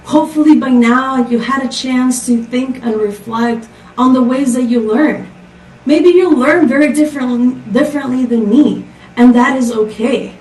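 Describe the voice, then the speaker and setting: A woman's voice: high-pitched, measured pace, a little mellow. A woman from Costa Rica giving a prepared tech conference talk, upbeat and full of rhetorical questions. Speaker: conference speaker. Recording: echoey, distant from the mic.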